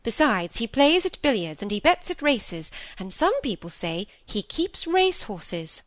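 A woman's voice: on the high side, sing-song, a little slow, dry recording, pitched above the performer's own voice. A woman voicing a stern, bossy older spinster in a storybook, speaking in an emphatic, sententious way. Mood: disapproving. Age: middle-aged or older.

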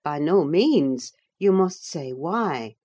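A stern servant woman played by a woman reader, slow and deliberate in her scolding. She is dry, sardonic and sententious, with expressive pitch, as a character in a classic novel audiobook. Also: husky, exaggerated voice. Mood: stern, quizzing. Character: sharp-tongued, no-nonsense female servant, stern and sardonic.

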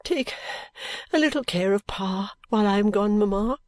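A woman voicing an affectionate, tearful young bride in an audiobook, pleading and halting with emotion. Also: medium pitch, darkish tone, dry recording, close to an everyday voice. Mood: anxious, pleading. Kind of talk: audiobook character acting.

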